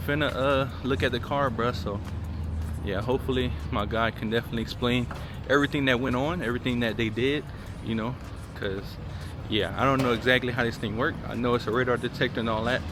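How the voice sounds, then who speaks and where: A man chatting casually to viewers as a vlogger, in a laid-back, slangy way with short repeated phrases. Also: mid-range voice. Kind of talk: casual car vlog chat.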